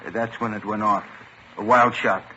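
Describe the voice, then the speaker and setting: A slightly noisy, muffled old radio recording of an American shopkeeper. He is talkative and worked up, with a sharp, forceful voice and an emphatic storytelling manner. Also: lowish voice, steady tone, moderate pace. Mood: indignant. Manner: emphatic, eager retelling. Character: worked-up, talkative male store owner, robbery victim. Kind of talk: 1950s radio drama acting. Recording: dull old radio recording, faint noise.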